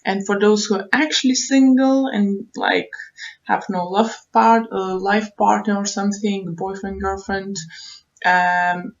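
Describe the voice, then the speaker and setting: Slow-paced woman giving a tarot reading. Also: mid-range voice, fairly even intonation, highs cut off. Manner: halting, repeats phrases, thinks aloud. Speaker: tarot reader, online show host. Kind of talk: unscripted tarot reading, advice to viewers.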